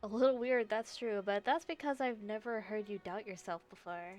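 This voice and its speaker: A woman's voice: medium pitch, moderate pace, darkish tone, faint music behind. A woman livestreamer reacting casually to a video game as she plays.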